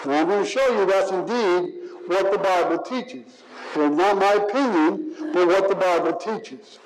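Slow, high-voiced male preacher. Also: rough recording. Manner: slow, deliberate preaching, stretching key words for emphasis. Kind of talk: sermon.

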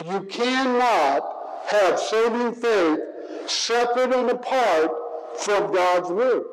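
Male preacher, high voice, slow and deliberate delivery, leans on key words, faint noise behind. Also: low-quality recording. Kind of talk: sermon.

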